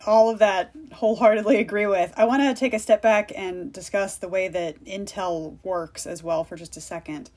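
A woman's voice: medium pitch, fairly even intonation, normal speed, few pauses, a little mellow. A woman speaking as an expert interview guest, explaining things in a plain-spoken way. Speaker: expert guest, security policy analyst.

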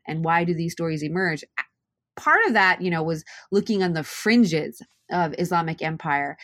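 A woman historian raised in Japan, talking through her research in an interview, thoughtful and pausing with fillers. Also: on the low side, no room sound, studio quality. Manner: thoughtful, hesitant with many fillers. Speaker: historian and author. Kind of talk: academic interview.

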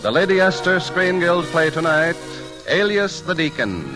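A man's voice: a little high, expressive, normal speed, poor audio. A man announcing and narrating in a formal, theatrical 1940s radio style. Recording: old 1940s radio broadcast. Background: music.

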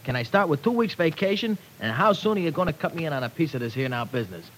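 An actor playing a cocky, defensive young ex-offender in an old radio drama, with brash, slangy wisecracks. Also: male voice, a little high, big pitch swings, fast talker, fairly bright tone. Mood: brash, defiant. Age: young adult. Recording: noisy vintage radio recording.

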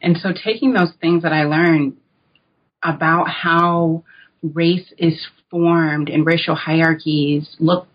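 A woman's voice: sits low, fairly even intonation, slow pace, husky, dull audio. An American woman answering interview questions as an academic guest, in a reflective, informal, explanatory way. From United States (North Carolina). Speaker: guest, author and political science academic.

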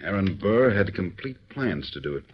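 A grave, measured government official who lays out evidence calmly, a 1940s radio drama. His voice is fairly low and husky. The recording is dull and muffled. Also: full character voice. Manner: measured, authoritative.

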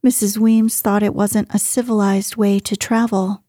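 A woman's voice: medium pitch, little pitch movement, moderate pace, mellow, dry recording, clean studio sound, lightly acted. Woman reading as a cheeky teenage girl sleuth. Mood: playful. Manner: playful and teasing.

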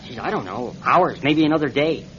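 A muffled, slightly noisy radio drama recording carries a steady, wry male pilot, played by an actor. His pitch swings widely as he coaxes and reasons in a folksy, natural way. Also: fairly quick, lightly acted. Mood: wry, practical.

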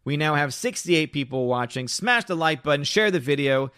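A man live-streaming, reading chat comments aloud and rambling in casual talk, slightly hoarse from losing his voice. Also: a little high, animated, moderate pace, fairly bright tone, no echo, studio quality.

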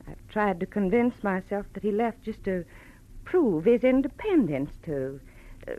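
A woman's voice: medium pitch, very animated. An actress playing a sweet, brave gray-haired mother, anxious and hesitant, in an old-time radio drama. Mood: wistful, earnest. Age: middle-aged. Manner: hesitant, halting, genteel. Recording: muffled vintage radio recording.

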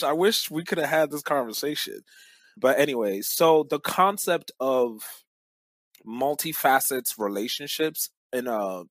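A man's voice: medium pitch, no room sound. A man from Cameroon speaking as a podcast guest in casual, reflective talk, with slangy, emphatic storytelling.

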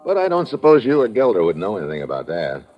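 A hard-boiled American hero of classic radio drama. His voice sits fairly low and moves with lively inflection, while his manner stays dry, wary and clipped. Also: quick pace, lo-fi sound. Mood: wry, suspicious. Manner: dry, guarded, terse. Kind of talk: old-time radio drama acting.

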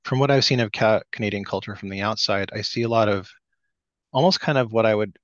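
Low-voiced male host, muffled audio. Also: brisk, fairly bright tone, no echo. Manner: long winding questions with self-corrections. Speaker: podcast host. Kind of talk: interview questions. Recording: slightly dull, muffled audio.

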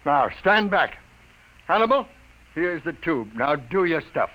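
A man playing a brash, wisecracking newspaper editor in a 1950s radio drama, brisk, cocky and commanding. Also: on the high side, sing-song, pushed and bright, full character voice, low-quality recording. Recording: old radio broadcast recording.

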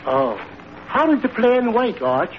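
An actor playing a cheerful, dim-witted, literal-minded barfly in an old-time radio comedy. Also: male voice, very high voice, sing-song, a little slow, exaggerated voice. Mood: dim, agreeable. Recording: muffled, very noisy vintage radio recording.

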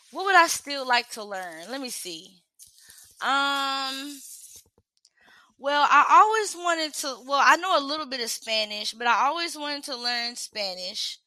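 Slow, bright-voiced young woman, casual livestream host, dry close sound. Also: high voice, no echo. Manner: chatty, reads questions aloud and answers them frankly. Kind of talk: casual livestream self-reflection.

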